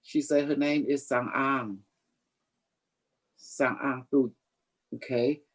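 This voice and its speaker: Psychic livestream host, boastful and playful, mid-pitched, loose improvised chat in non-standard grammar. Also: steady tone, slow pace.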